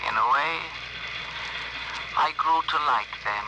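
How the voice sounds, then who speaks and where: A calm alien envoy in 1950s radio drama style. The voice sits a little high, with formal, measured, stilted phrasing. The old broadcast carries clear noise. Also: male voice. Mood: calm, wistful.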